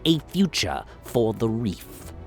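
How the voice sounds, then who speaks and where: A man voicing a humble alien scribe in a radio play, with a croaking, burbling voice and halting, solemn, earnest speech. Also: mid-range voice, big pitch swings, soft background music. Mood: pleading.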